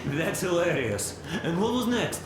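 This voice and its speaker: A man's voice: on the high side, sing-song, unhurried, background noise. A man playing an amused soldier in video game banter, teasing and egging on a storyteller. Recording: very echoey, loud music behind.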